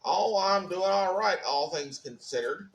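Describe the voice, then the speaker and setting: A man's voice: high voice, animated, a little slow. Laid-back man, roomy and distant. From Mississippi, USA. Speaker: podcast guest. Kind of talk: casual interview answers.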